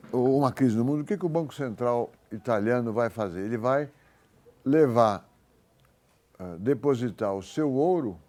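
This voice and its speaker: Brazilian professor lecturing in a halting, informal way. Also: male voice, mid-range voice, darkish tone. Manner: informal, halting, thinks aloud and corrects himself. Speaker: economics professor. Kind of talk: university lecture, explaining with worked examples.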